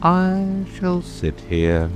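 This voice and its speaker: Storybook frog footman: solemn and dim, ponderously slow, with hugely swooping pitch. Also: big pitch swings, over music, exaggerated voice. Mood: stolid, unbothered. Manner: slow, drawn-out, solemn delivery. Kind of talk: dramatic audiobook reading.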